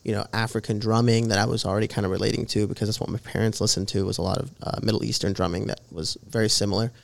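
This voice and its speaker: This is a young man in his twenties, originally from Jordan, talking as a guest on a podcast. His voice sits low and carries a gravelly, creaky edge. He rambles casually, stringing thoughts together with plenty of fillers. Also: steady tone, very fast. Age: 20s. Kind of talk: casual interview chat.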